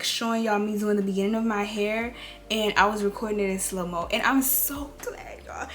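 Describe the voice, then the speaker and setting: A woman in her twenties with a raspy, rough voice from a cold, giving casual advice straight to her viewers. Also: mid-range voice. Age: 20s. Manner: chatty, direct, addresses viewers as y'all and girl. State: hoarse from a cold. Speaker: beauty and lifestyle vlogger. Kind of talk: casual girl-talk advice.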